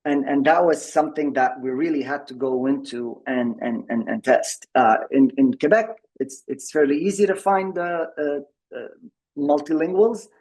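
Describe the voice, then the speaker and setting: A man's voice: a little high, steady tone, moderate pace, a little mellow, poor audio. A man giving a tech presentation at a members' meeting over a video call. He talks with many fillers and restarts. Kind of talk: conference presentation.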